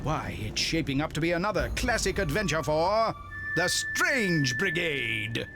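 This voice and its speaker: An actor voicing a pompous, excitable British adventure serial announcer, narrating theatrically in florid, old-time newsreel style. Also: male voice, a little high, unhurried, loud music behind the voice. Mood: suspenseful, grand.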